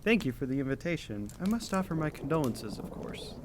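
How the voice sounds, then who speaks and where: A man's voice: a little high, sing-song, fairly quick. A man playing a formal, brusque and reserved businessman in an amateur radio play. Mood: guarded. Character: reserved, brusque gentleman shipping company owner. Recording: faintly noisy home recording.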